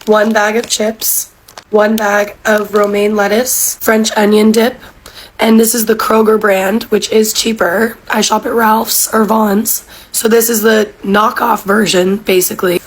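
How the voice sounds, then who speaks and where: A woman who has lost her voice, talking hoarsely in a casual, exasperated shopping vlog. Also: medium pitch, level tone, measured pace, rough recording.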